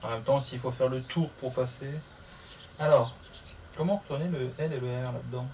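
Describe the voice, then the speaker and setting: A man's voice: medium pitch, steady tone, normal speed, a little mellow. A man chatting casually to camera as a vlogger doing a hands-on tutorial, often muttering to himself as he works through the steps. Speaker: motorcycle vlogger. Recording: distant mic, clear background noise.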